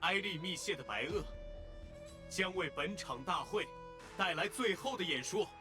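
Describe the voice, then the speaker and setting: A man's voice: high-pitched, animated, normal speed, over music. A man playing a formal senator who presides over an assembly in a video game, proclaiming ceremonially.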